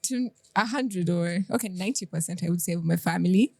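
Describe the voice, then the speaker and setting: A young woman from Uganda, a guest in a casual interview, talking earnestly and sometimes quickly, often retelling her own thoughts aloud. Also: lowish voice, creaky, darkish tone, breathy edge, no echo, studio quality.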